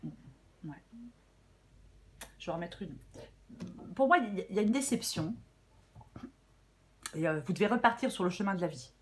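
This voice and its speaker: A woman with a French accent gives a casual tarot reading, her voice a little hoarse and husky. She speaks in a fairly low register, thinking aloud with frequent fillers, pauses and restarts. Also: animated. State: slightly hoarse. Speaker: tarot reader.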